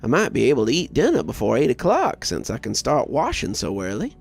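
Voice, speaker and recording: A man's voice: medium pitch, huge pitch swings, brisk, vocal fry, faint music behind, full character voice. A man voicing a friendly, plainspoken working woman at a dairy, chatting casually in an audio story. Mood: relieved.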